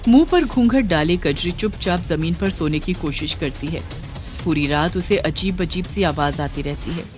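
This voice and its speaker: A woman's voice: fairly low pitch, moderate pace, soft background music. A woman narrator reading a scripted moral story.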